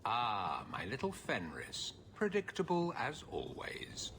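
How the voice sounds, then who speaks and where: An actor playing a smug, menacing aristocratic slave master in a video game, with a silky, condescending, taunting manner. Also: male voice, medium pitch, huge pitch swings, slow pace, a bit bright, exaggerated voice. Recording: clear background noise.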